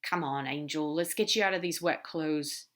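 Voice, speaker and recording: A woman's voice: deep voice, moderate pace, natural voice. A woman voicing a sly, fond male demon in a fan audiobook reading, with a casual, easygoing manner. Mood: teasing.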